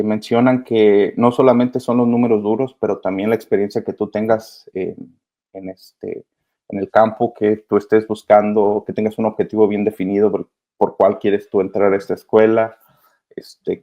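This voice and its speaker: A man answering interview questions casually and earnestly, often hesitating as he searches for words. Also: on the low side. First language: Spanish. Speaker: interview guest, migrant children's advocate. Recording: slightly dull, lo-fi sound.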